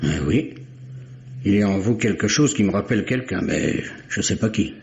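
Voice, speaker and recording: An actor playing a gruff, grudging tobacconist in a French radio mystery, talking in curt, guarded, colloquial bursts. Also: male voice, lowish voice, animated, normal speed, a little mellow, slight background noise. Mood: guarded, puzzled. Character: gruff, wary, grudging male London tobacconist. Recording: dull, muffled old radio recording.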